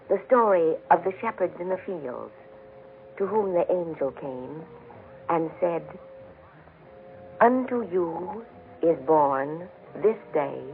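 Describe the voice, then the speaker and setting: Guy telling a solemn story on 1940s radio, highish voice, tinny old recording w music behind. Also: vocal fry.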